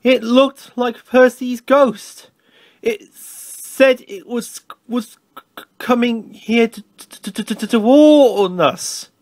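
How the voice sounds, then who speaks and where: A man voicing an earnest, excitable little tram engine in a children's storybook, timid in manner. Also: extremely high pitch, fairly quick, hardly any pauses, no echo, pitched above the performer's own voice. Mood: shaky alarm. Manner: earnest, excitable, stammering when scared.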